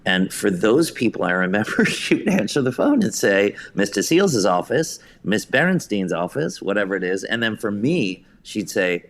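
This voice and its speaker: Male, low-pitched voice, interview guest recounting creative career anecdotes in a conversational way. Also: big pitch swings, normal speed, few pauses. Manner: anecdotal, hesitant phrasing, acts out quotes. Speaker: interview guest, theatre director and writer.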